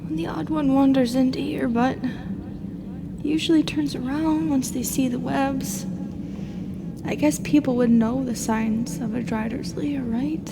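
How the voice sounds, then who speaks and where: High, slow-spoken, lonely drider played by a woman. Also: steady tone. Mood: wistful, wary. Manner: hesitant, halting, emotional delivery. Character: lonely, sorrowful female drider raised by humans. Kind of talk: audio roleplay monologue. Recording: clearly noisy background.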